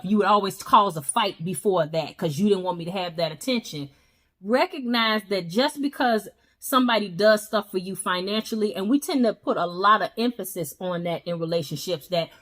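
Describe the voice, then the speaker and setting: A woman co-host talking through marriage and money with earnest, emphatic conviction. She speaks at an everyday middle pitch, steady and conversational, and drives her points home repeatedly. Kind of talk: casual chat about relationships.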